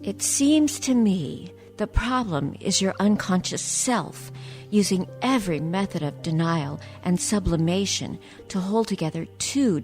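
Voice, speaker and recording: A woman playing a clinical, self-absorbed psychiatrist in a comic radio play, deadpan and precise. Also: medium pitch.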